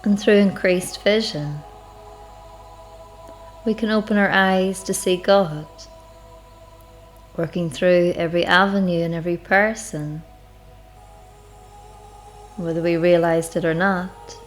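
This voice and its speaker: A woman with a lowish voice leads a spiritual guided meditation. She speaks very slowly and reflectively, leaving long, measured pauses between phrases. Music plays behind her words. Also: fairly even intonation, poor audio.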